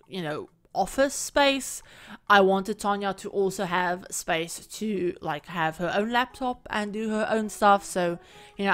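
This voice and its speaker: A woman recording a casual, rambling voiceover for a home-building game video, her voice a little croaky from being sick. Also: mid-range voice, a bit bright. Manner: rambling, chatty, many fillers. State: croaky from illness. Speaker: Sims build creator. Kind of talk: casual voiceover over gameplay.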